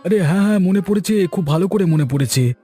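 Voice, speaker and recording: Man acting a watchful orchard keeper, raspy, fairly high male pitch, earnest, suspicious, cartoon dialogue. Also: animated, fast talker, dark tone, faint music behind. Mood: indignant, suspicious. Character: wary, loyal village orchard keeper, plotting protector.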